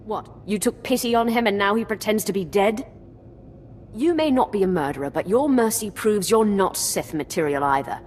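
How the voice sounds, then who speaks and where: A woman playing a fierce, vengeful young Sith in a video game, with sharp, haughty, dramatic delivery. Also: medium pitch, animated, moderate pace, a little hiss or hum, natural voice. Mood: scornful.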